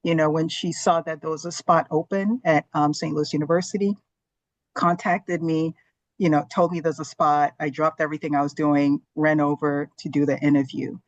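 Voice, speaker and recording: A woman's voice: low voice, fairly even intonation, normal speed, dry recording. A woman in her forties from Zambia, an earnest and reflective interview guest who talks with many fillers. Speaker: guest, gastroenterologist. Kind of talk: casual interview talk.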